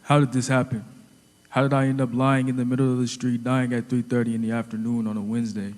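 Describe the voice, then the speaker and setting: An actor playing a bewildered, wistful high school senior who is dying, in a reflective audio drama monologue. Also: male voice, little pitch movement, fast, a little mellow, natural voice. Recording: long reverberant hall effect.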